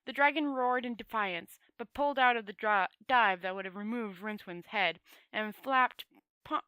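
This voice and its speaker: A woman's voice: on the high side, bright, forceful tone, dry recording. A woman reading a fantasy novel aloud as an amateur, stumbling and rereading, with casual asides. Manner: halting, often stumbling over words and rereading. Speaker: amateur audiobook reader.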